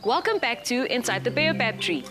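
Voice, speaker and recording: A cheerful woman hosts a children's program and guides young viewers through a craft one step at a time. Her voice sits a little high, and she speaks with patient warmth. Music plays clearly underneath her. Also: big pitch swings, a little fast. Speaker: children's TV presenter.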